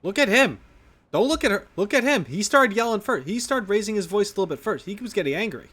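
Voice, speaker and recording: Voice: mid-range voice, animated, fast talker, a bit bright, slightly rough. A game streamer giving casual reaction commentary, slangy, sweary and heckling. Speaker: streamer playing a video game.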